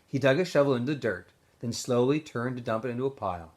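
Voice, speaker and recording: Man reading a novel aloud, very animated pitch. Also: quick pace. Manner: informal, stumbles and corrects himself while reading. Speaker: teacher reading aloud to students. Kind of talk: read-aloud storytelling of a children's novel.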